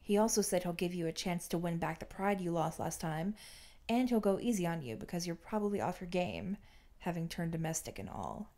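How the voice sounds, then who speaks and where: A woman's voice: fairly low pitch, expressive, brisk, dark tone. A woman voicing a persistent, caring man who speaks in a casual, coaxing way in an audiobook.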